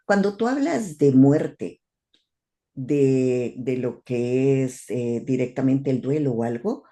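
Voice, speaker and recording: A woman's voice: a bass voice, lively intonation, slow, warm tone. A woman from Guatemala with a Guatemalan Spanish accent, hosting a casual conversation and often repeating words as she searches for them. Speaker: host.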